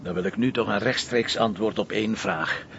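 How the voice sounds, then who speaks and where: An actor playing a shrewd, probing middle-aged police commissioner with a Flemish accent, talking in a dry, insistent way. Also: male voice, normal speed, gravelly, a bit bright. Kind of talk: television crime drama. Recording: dull lo-fi TV audio with faint rumble.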